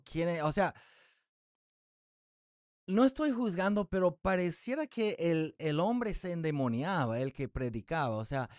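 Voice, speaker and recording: Slow, clear-voiced preacher, Spanish as second language. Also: male voice, a little high, no room sound, clean studio sound. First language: English. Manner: slow, deliberate reading of scripture with frequent fillers. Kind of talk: Bible teaching sermon.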